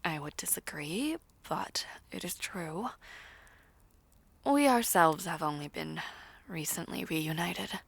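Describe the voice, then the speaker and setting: A woman as a slow, low-voiced haughty princess. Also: creaky, husky, dry recording, heavily acted. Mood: composed, aloof. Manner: stiff, formal, measured. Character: soft-spoken, haughty royal princess, blunt yet kindly. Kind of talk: audio roleplay acting.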